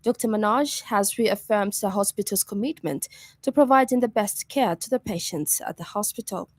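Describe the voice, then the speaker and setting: A woman's voice: mid-range voice, moderate pace, a little mellow, no echo. A woman with a Zambian accent reading a scripted news bulletin as a radio news reader. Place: radio studio.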